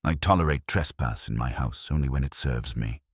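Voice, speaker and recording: A man's voice: very deep voice, normal speed, slightly rough, no room sound, studio quality, heavily acted. A man voicing an imperious, cerebral detective in an audiobook, pompous, formal and commanding as he passes cold judgement.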